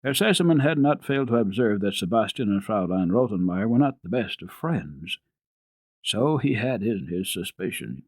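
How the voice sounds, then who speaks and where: A man's voice: fairly low pitch, expressive, normal speed, no room sound. A man reading a classic children's story dramatically, as a radio storyteller. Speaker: storyteller, radio program host.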